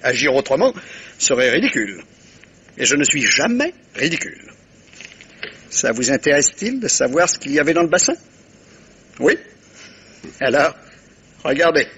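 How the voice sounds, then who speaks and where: An actor playing a shrewd, self-satisfied, courteous middle-aged detective in a vintage French radio mystery, with theatrical, pointed pauses. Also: male voice, a little high, very animated, sharp tone, a little hiss or hum, heavily acted.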